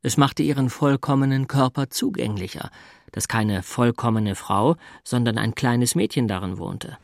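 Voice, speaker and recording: Sober, slightly awkward man, acted, mid-pitched. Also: normal speed, creaky, no room sound, clean studio sound, natural voice. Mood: reflective. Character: Thomas, sober, slightly awkward single male IT consultant. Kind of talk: literary audio drama dialogue and narration.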